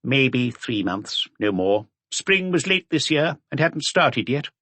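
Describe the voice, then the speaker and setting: A man voicing a little old cafe regular in an audiobook, mildly reminiscing in an unhurried manner. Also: medium pitch, big pitch swings, a bit bright, no room sound, voice pushed up, exaggerated voice. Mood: musing.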